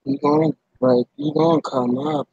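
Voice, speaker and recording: Husky, creaky high-pitched man. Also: wildly swooping pitch, moderate pace, a little mellow, dry recording, lo-fi sound. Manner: short interjections and agreeing comments.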